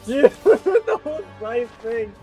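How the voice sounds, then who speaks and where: A game streamer giving casual, excitable, slangy reaction commentary during a playthrough. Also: medium pitch, takes it slowly. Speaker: live streamer playing a game. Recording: game music behind the voice.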